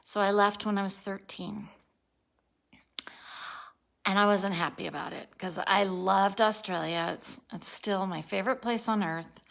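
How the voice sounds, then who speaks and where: Slow-talking woman in her sixties, tired. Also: mid-range voice, fairly even intonation, vocal fry, fairly bright tone. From born in Turkey, raised abroad, lives in Colorado. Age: 60s. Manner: rambling, self-correcting, laughs at herself. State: tired, a little spacey. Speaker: vlogger. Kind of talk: casual daily video diary.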